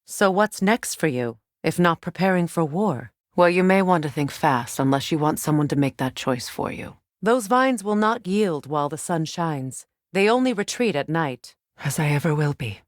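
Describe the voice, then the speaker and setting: Game role: proud middle-aged Norse sorceress, lowish voice, formal and dryly sardonic, wise and wounded, close dry studio sound. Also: female voice, lively intonation, moderate pace, few pauses, dry recording. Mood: dry, sober. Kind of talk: dramatic video game character acting.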